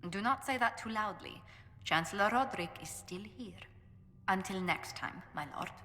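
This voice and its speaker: Bright, refined lady ambassador in echoey hall. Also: mid-range voice, animated, normal speed. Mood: dryly dismayed. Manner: formal, refined, diplomatic phrasing. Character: courteous, poised noblewoman ambassador with dry humour. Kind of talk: video game voice acting. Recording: echoey hall with long reverb.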